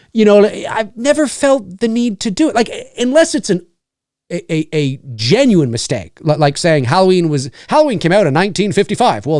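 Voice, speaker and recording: A man hosting a casual podcast, talking in a loose, rambling way and dropping curse words freely. His pitch swings widely as he slips into quick mock voices of commenters. The sound is close and dry, with almost no room around it. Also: dry recording. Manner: lively, profane, rambling with mock-quoted voices. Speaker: podcast host. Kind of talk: casual chat.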